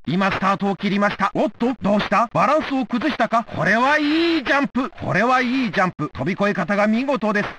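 An excitable Olympic announcer in a video game, his voice very high and bright. He delivers emphatic play-by-play at a rapid clip. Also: a little hiss or hum. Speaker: sports announcer. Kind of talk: excited sports play-by-play commentary.

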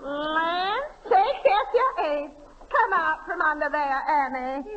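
A woman's voice: high voice, animated, slow pace. A woman playing a nagging, folksy backwoods wife with a rural Southern American accent in a 1930s radio comedy. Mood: scolding. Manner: scolding, folksy. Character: nagging backwoods Arkansas hillbilly wife and mother. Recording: noisy, dull 1930s radio recording.

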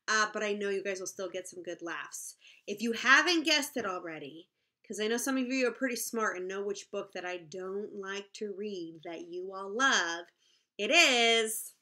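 A woman's voice: on the high side, pushed and bright. A woman in her thirties, a teacher casually addressing young pupils and their families from a room at home. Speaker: kindergarten teacher. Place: small room at home, indoors.

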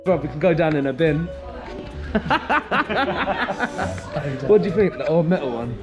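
A man's voice: fairly high pitch, big pitch swings, slow, crowd noise. A young man filming an outdoor vlog, joking and swearing with his mates on an abandoned ski slope. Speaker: vlogger. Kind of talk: casual banter while filming. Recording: background music.

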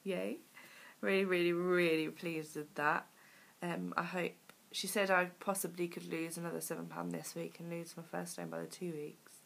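A woman in her twenties, croaky from a cold, chatting casually in a home video diary with lots of ums, recorded on a webcam in a small room. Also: lowish voice, level tone, moderate pace. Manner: chatty, rambling, many ums. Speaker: vlogger. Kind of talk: casual weight-loss diary chat. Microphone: webcam at arm's length.

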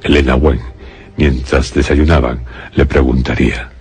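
A man with a Castilian Spanish accent narrating a suspense radio drama in a grave, measured storytelling manner. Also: rumbling low, fairly even intonation, a little mellow, faint music behind.

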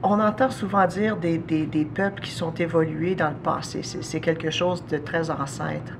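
Low-voiced Canadian French woman. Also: fairly even intonation, background noise. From Ontario, Canada. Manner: thoughtful, many pauses and fillers. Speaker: artist interviewed. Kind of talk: documentary interview. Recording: music bed under voice.

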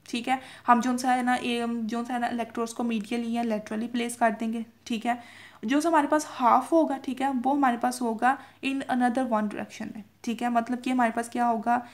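A woman's voice: a little high, flat delivery, normal speed. A young woman giving an informal lecture from notes, repeating points with frequent checking tags and mixing in English technical terms. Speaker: medical lecturer.

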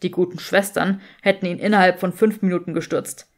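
Lady doing a sardonic young wizard guy, lowered voice, dry and snooty, audiobook style. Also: steady tone, brisk, natural voice. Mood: amused, snooty.

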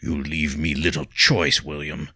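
A man voicing a stern older male weapons master with accented English, delivering measured, scolding rebukes. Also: a bass voice, little pitch movement, pushed and bright, no echo, studio quality, heavily acted.

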